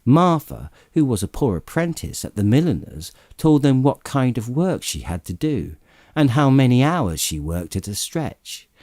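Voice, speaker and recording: Male audiobook narrator, unhurried, expressive wide intonation, measured storytelling, dark tone, dry sound. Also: fairly low pitch, no room sound.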